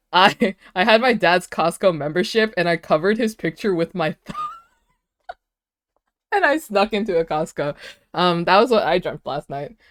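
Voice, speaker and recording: Animated, chatty woman streamer. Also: on the low side, measured pace, long pauses. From United States. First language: Vietnamese. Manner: animated, chatty, laughs often, many "like" fillers. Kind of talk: casual livestream chat, reading chat aloud.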